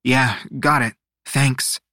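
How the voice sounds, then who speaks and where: A man's voice: medium pitch, moderate pace, pushed and bright, no room sound. A man voicing a spirited, sarcastic teenage boy in an audiobook, exclamatory and easily flustered. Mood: teasing, gruff. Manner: sputtering, exclamatory, smart-alecky.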